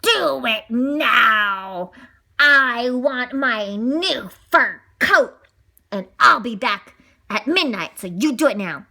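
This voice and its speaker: A woman voicing a greedy, villainous fur-coat-mad woman who barks slow, shouted orders. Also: fairly high pitch, animated, creaky, exaggerated voice. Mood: furious.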